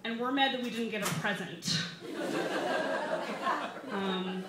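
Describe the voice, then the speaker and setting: A young American woman reading a comic personal essay to a live audience, with wry, deliberate emphasis. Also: mid-range voice. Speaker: stand-up comedian reading an essay. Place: small brick-walled bar, indoors. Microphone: stand microphone, camera recording. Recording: roomy live bar recording, some background noise.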